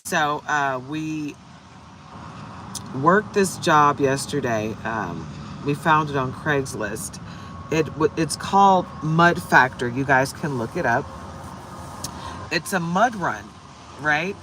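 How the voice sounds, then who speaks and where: A hoarse woman from the United States doing a casual, upbeat tarot livestream and greeting viewers by name. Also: sits low, animated, takes it slowly, long pauses, background noise. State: hoarse from shouting. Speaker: tarot reader.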